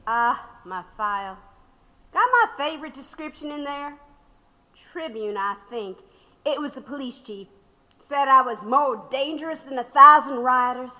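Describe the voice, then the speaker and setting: A woman's voice: sits high, unhurried, sharp tone, big-room sound, heavily acted, low-quality recording. An actress playing a sardonic, unyielding older woman labor radical under questioning in an audio drama.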